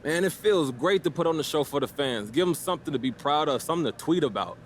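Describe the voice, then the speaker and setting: A man's voice: a little high, expressive, fast, slight background noise, close to an everyday voice. A man playing a young, easygoing but guarded basketball rookie in a video game, answering the press in a casual, hesitant, diplomatic way. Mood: upbeat, grateful.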